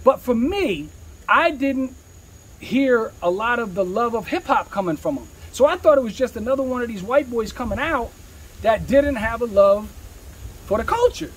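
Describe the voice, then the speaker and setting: A man's voice: extremely high pitch, expressive, normal speed, slight background noise, rough recording. A man talking candidly in an interview as a rapper, with slangy, streetwise speech peppered with swearing.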